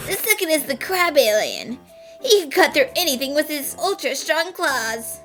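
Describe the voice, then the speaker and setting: A woman voicing a conceited young male academic and amateur mystery writer in an animated fan dub, telling stories smugly and theatrically. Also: sits high, moderate pace, soft background music. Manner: smug, theatrical storytelling.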